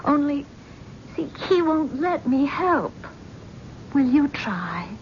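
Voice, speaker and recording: An actress playing an anxious middle-aged wife who speaks in a halting, pleading way. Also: female voice, high-pitched, very animated, a little hiss or hum, heavily acted. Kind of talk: 1970s radio drama acting. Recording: dull vintage radio broadcast.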